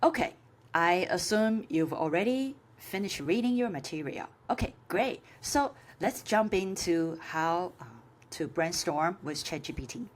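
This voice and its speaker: Low-voiced woman with a Chinese accent, teaching. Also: lively intonation. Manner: halting, hesitant phrasing with frequent filler sounds. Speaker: course instructor. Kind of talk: informal screen-share tutorial.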